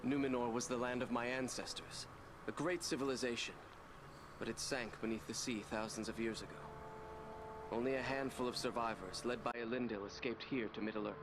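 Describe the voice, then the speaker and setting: An actor playing an earnest, grateful young hero in a fantasy game, speaking formally and from the heart. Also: male voice, medium pitch, steady tone, fairly quick, noisy background, natural voice. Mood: grateful, weary. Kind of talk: video game cutscene acting. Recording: loud music behind the voice.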